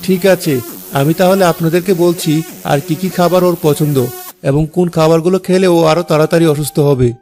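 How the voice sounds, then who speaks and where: A man's voice: fairly high pitch, fairly even intonation, brisk, darkish tone, soft background music, lightly acted. A man voicing a two-faced, opportunistic young husband in a cartoon, with an ingratiating manner. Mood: conspiring. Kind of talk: Bengali cartoon dialogue.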